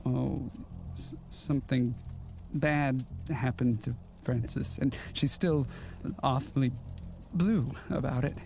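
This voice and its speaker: An actor in an audio drama playing a ghostly, wistful killer from the 1940s, with halting, old-fashioned courteous speech and quiet menace. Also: male voice, mid-range voice, vocal fry, slight background noise. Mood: wistful, guarded.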